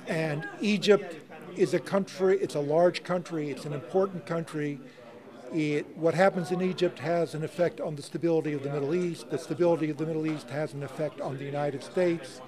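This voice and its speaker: Middle aged guy explaining stuff earnestly, bit high voice, people chattering around. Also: steady tone, fairly quick. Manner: earnest, explanatory, repeats points for emphasis. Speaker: panelist, faculty speaker. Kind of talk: interview soundbite. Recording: crowd noise behind. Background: crowd chatter.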